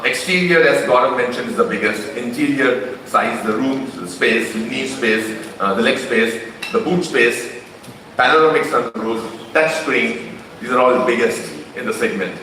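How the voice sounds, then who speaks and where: An Indian-accented man addresses a crowd at a launch event, his voice bright and forceful. He talks loosely and conversationally, circling back and restarting phrases. The sound is distant and booms around a large reverberant hall, with a faint hum behind it. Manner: conversational, with frequent restarts and fillers. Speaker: company executive presenting a car launch. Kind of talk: unscripted presentation speech. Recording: echoey hall from a distant mic.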